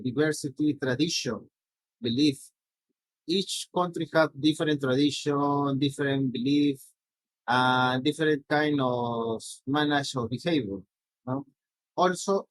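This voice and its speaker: A middle-aged man from Peru, a native Spanish speaker with a Peruvian accent, giving a slide presentation in a halting way with many restarts and "no?" tags. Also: a little high, no room sound, rough recording.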